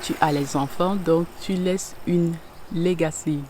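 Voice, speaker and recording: A woman giving a tarot reading straight to the viewer, gentle and unhurried, often pausing and searching for words. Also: fairly low pitch, fairly even intonation, slight background noise. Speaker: tarot reader.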